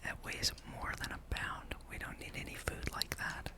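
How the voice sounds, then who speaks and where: Soft whispered gaming ASMR ramble. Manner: unhurried, casual musing.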